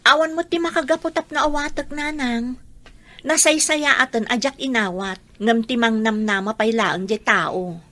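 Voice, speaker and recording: Actress as a high-voiced, stubborn Ilocano young daughter. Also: female voice, normal speed, a bit bright, close to an everyday voice. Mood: stubborn, exasperated. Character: stubborn, heartbroken young daughter who refuses love. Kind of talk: Ilocano radio drama acting.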